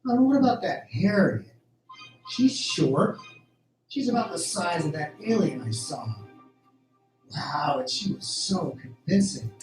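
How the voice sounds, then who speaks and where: Man acting as earnest farm-boy hero, audio drama, lively pitch, unhurried, faint music behind. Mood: musing, baffled. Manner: earnest, exclamatory, thinking aloud. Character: Jack, excitable farm-raised young hero facing aliens. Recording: roomy, distant, music underneath.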